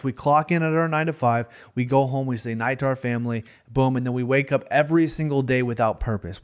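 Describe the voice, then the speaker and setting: A man in his thirties co-hosting a podcast, speaking in a passionate, motivational way. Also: mid-range voice. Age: 30s. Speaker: podcast co-host. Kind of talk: casual podcast discussion.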